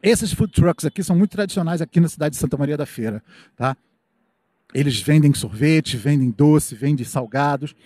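Man, middle-aged, Brazilian accent, live stream host, chatty, upbeat, slightly high voice, outdoors at night, handheld mic. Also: moderate pace, mellow, dry recording. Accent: Brazilian Portuguese. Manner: chatty, upbeat, reads viewer comments aloud, frequent "tá?" and "né?". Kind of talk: live walking tour commentary. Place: outdoor Christmas fair park at night. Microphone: handheld microphone.

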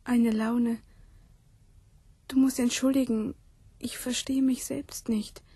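Radio drama: dreamy, fearful woman in love, higher-pitched, breathy and half-whispered. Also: level tone, takes it slowly, mellow, dry recording. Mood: tender relief. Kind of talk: German radio play acting.

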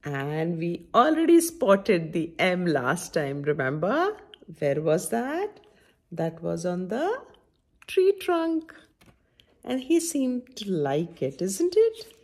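A woman's voice: fairly low pitch, huge pitch swings, slow pace. A middle-aged woman reading a picture book aloud to young children, expressively and with lots of questions put straight to them. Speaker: children's story time host. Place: indoor room at home by a window.

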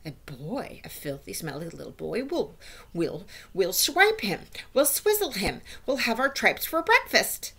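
A woman's voice: deep voice, very animated, a little slow, vocal fry, warm tone, breathy edge, heavily acted. A woman voicing a bossy, scornful witch leader who scolds and gives orders imperiously in a storybook. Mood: disgusted, vengeful. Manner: imperious, scolding, odd foreign-style grammar.

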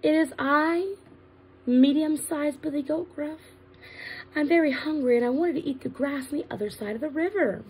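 Middle billy goat played by a woman: earnest, mildly anxious storybook male, higher voice, faint noise behind. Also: normal speed, a little mellow, lightly acted, poor audio. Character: medium-sized, polite, nervous male billy goat.

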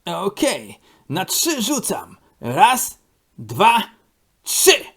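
A man voicing a bossy, upbeat male sports coach who shouts out counts and barks orders in an amateur cartoon dub. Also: high voice, huge pitch swings, drawn-out words, husky, full character voice. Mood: drilling.

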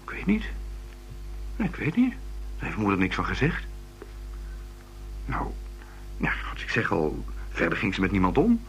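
A man's voice: low voice, huge pitch swings, normal speed, pauses often, a bit bright, faint music behind, heavily acted. An actor playing a hesitant, rambling young man who is a murder witness in a Dutch radio play. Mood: nervous, hesitant. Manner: hesitant, rambling, restarts words. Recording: noisy old radio recording with hum.

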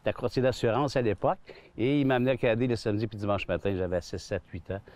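A Québécois man in his sixties tells stories in a rambling way, full of restarts and fillers. His voice sits a little low. He is recorded on a lapel mic outdoors on a golf course. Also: fairly even intonation, fast talker, a little mellow. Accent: Québécois French. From Quebec. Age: 60s. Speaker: golf club general manager. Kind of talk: relaxed outdoor interview.

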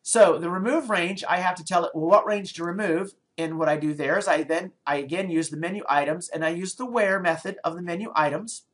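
A middle-aged man teaches programming over a screencast. He talks from a small office into a desk webcam. He explains each step aloud and often pauses, restarts or adds fillers as he thinks. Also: high voice. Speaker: programming lecturer. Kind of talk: screencast coding tutorial.

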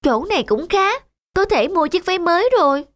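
High-pitched wistful little girl. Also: lively intonation, a little fast. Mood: surprised. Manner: plaintive, childlike, polite to elders. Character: little schoolgirl, wistful, longing for a new dress. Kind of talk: animated moral tale dubbing. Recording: background music under the voice.

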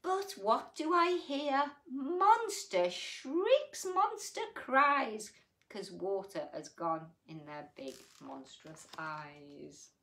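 A woman acts out a put-upon storybook mother worn down by her unruly little ones. The rhymes come slowly and rhythmically, with pitch that rises and falls widely. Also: heavily acted. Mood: tender, dramatic. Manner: measured, expressive, rhythmic. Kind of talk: rhyming picture-book narration.

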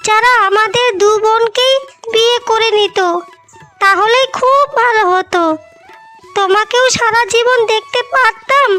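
A woman's voice: extremely high pitch, bright, forceful tone, heavily acted. A woman voicing an affectionate, anxious younger sister in a cartoon fairy tale, earnest and pleading. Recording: music bed behind the voice.

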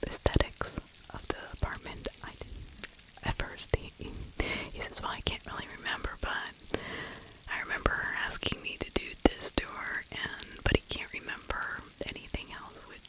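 Whispering middle-aged woman, ASMR style. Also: rough recording. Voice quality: breathy, unvoiced whisper. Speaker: ASMR true crime storyteller. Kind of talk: whispered ASMR true crime commentary. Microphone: large microphone with furry windscreen, very close.

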